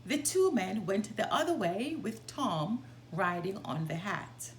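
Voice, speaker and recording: A woman reading a children's story aloud as a storytime host, with expressive, deliberate delivery. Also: mid-range voice, a little hiss or hum.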